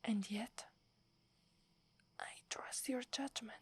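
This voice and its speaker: Woman acting a loyal young werewolf girl, italian accent, breathy whisper, slow and submissive, asmr roleplay. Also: fairly high pitch, exaggerated voice. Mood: devoted, gentle. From Italy. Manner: hesitant, reverent, submissive. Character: devoted young female werewolf, deferential to her alpha. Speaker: voice actress.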